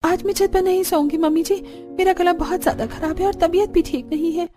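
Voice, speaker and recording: A woman's voice: very high voice, fairly even intonation, fairly quick, over music, pitched above the performer's own voice. A woman voicing a young village daughter-in-law in a cartoon, complaining in a dramatic, exasperated way. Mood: pleading, defiant.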